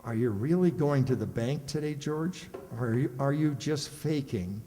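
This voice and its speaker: A man's voice: mid-range voice, lively intonation, normal speed, mellow, a little hiss or hum, lightly acted. An amateur actor playing a boastful, girl-crazy, teasing bachelor pal in an old-time radio comedy. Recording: echoey hall with long reverb.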